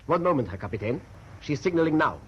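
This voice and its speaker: Husky, brisk German first officer. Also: male voice, on the high side, very animated, heavily acted. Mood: uneasy, alert. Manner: brisk, dutiful reporting. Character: deferential, alert German ship's first officer. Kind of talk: radio drama acting. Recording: muffled old radio drama recording.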